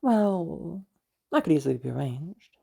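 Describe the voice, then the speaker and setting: Manipulative rich mother for audio drama, played by a woman: low, gravelly, condescending, drawn-out menace under false sweetness. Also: big pitch swings, warm tone, heavily acted. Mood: veiled menace. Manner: condescending, drawn-out, veiled threats. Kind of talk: amateur audio roleplay acting.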